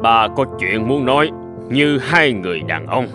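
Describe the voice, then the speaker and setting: A man playing a stern but fair middle-aged father in a cartoon, speaking in a measured, earnest, admonishing way. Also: medium pitch, expressive, lightly acted. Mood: calm, serious. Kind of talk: animated moral tale dubbing. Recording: music behind the voice.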